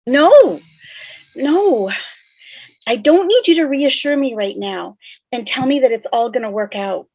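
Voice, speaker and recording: A woman's voice: high voice, animated, unhurried, pauses often, no room sound, exaggerated voice. A woman playing a sardonic, stubborn teenage girl, blunt and resigned to doom, in a fan table read over a dull-sounding video call. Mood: upset, bitter. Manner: blunt, sarcastic.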